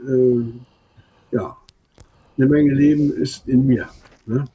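A man, a band leader whose first language is Low German, answering interview questions in a relaxed, hesitant way with many fillers. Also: extremely slow, husky, muffled recording.

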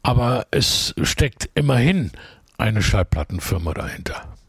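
A male radio host interviews a musician, praising the songs and steering with leading questions. His voice is gravelly and a bit husky, recorded close and dry. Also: mid-range voice, sing-song, a little slow, no room sound.

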